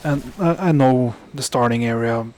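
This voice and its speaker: A man's voice: mid-range voice, a little mellow. A young man from Norway, speaking English as a second language, giving casual gameplay commentary and often stopping to correct himself. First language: Norwegian. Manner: halting, self-correcting, mutters asides under his breath. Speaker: gaming commentator.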